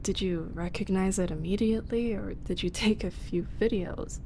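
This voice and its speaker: A young woman voicing a shy roommate who secretly runs an ASMR channel, speaking softly in half-whispers with frequent small laughs and halting pauses. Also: medium pitch, creaky, background noise, natural voice. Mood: tender amused. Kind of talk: ASMR audio roleplay.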